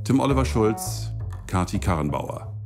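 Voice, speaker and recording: A man's voice: lowish voice, sing-song, moderate pace. A man reading a scripted credits announcement for an audio drama. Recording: music behind the voice.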